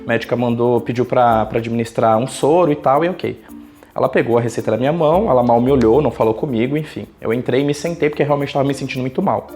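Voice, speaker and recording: Fast-talking Brazilian man telling a story. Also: medium pitch. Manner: colloquial, animated retelling with acted quotes. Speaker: YouTuber. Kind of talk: casual personal storytelling.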